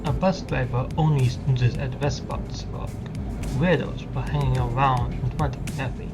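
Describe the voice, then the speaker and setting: A man's voice: medium pitch, steady tone, a little slow, close to an everyday voice. A man voicing a quirky, blunt bus driver in a game fan dub, with a clipped, offhand way of talking. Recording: music behind the voice. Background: music.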